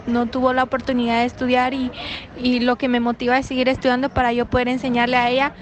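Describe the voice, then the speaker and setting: Young guatemalan woman, k'iche' is her first language, high flat voice, earnest. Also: quick pace. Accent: Guatemalan Spanish. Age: young adult. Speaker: student guest. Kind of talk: prepared greeting.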